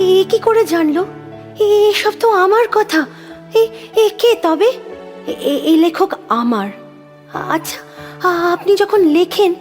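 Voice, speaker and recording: A moody, ardent younger sister in a Bengali audio drama. Her voice is very high, and she speaks with halting fervour, repeating words as if possessed by devotion. Faint music sits behind her. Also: darkish tone. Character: intense moody younger sister, ardent reader infatuated with a poet.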